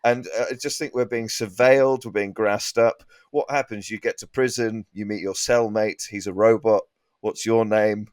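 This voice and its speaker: A man, a comedy podcast guest, riffing in a halting, mock-exasperated manner in casual panel chat. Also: on the low side, fairly even intonation, dry recording.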